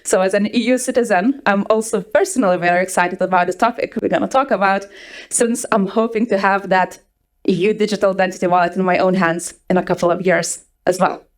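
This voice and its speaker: A young woman from Lithuania with a Lithuanian accent, giving a lively conference talk with a personal story to a live audience. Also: medium pitch, fairly quick. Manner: energetic, chatty, repeats words for emphasis. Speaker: conference speaker. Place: conference hall before an audience.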